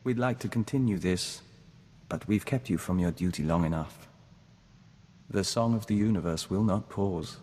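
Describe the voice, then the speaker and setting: A man playing a calm, formal diplomat joined to a hive mind, speaking in a measured, earnest way in a video game. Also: sits low. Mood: measured, direct. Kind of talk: scripted video game companion dialogue. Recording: long reverb, audible background noise.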